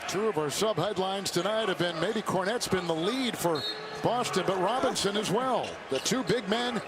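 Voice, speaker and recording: A man's voice: high voice, normal speed. A man calling live basketball play-by-play in clipped, descriptive phrases. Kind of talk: live sports commentary. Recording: loud arena crowd and court noise. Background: basketball arena crowd, bouncing ball.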